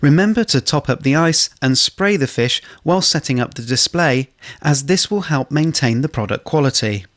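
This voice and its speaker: A man reading scripted instructional narration for a corporate training film, in a measured way. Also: on the high side, expressive, a bit bright.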